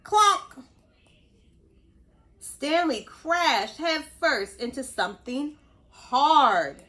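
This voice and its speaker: A woman reading a story aloud to young children, expressive, pausing to explain things and ask simple questions. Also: high-pitched. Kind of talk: animated read-aloud narration.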